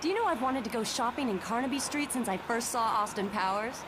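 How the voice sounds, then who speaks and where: A woman as a slightly high-voiced bantering superheroine. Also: a little fast, natural voice. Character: bantering, defensive young superheroine. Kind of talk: motion comic voice acting. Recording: clear background ambience noise.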